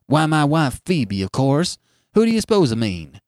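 A man's voice: fairly high pitch, big pitch swings, normal speed, darkish tone, dry recording, clean studio sound. A man voicing a frail, confused old farmer with a folksy, insistent rural American way of talking. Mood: stubborn, indignant. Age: older. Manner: insistent, folksy, plain-spoken. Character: frail demented old farmer searching eagerly for his wife.

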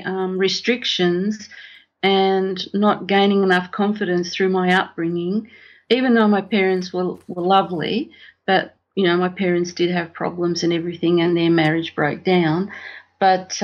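An Australian woman talks slowly as a podcast guest. She is candid and reflective, pausing often in a casual style. The call audio is slightly dull and lo-fi. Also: medium pitch. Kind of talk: casual personal interview.

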